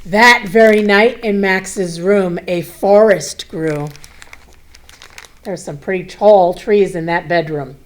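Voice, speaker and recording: A woman reads a children's story at a slow, easy pace. Her voice sits at a medium pitch, and she is expressive, speaking directly to young listeners with simple, chatty explanations. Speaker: school superintendent reading a picture book to children.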